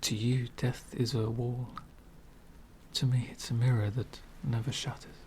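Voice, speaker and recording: A man playing a lonely, courteous, centuries-old vampire in an ASMR roleplay, speaking formally and wistfully in soft, breathy whispers. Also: mid-range voice, level tone, a little hiss or hum, natural voice. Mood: melancholy, wistful. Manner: formal, wistful, slow and deliberate.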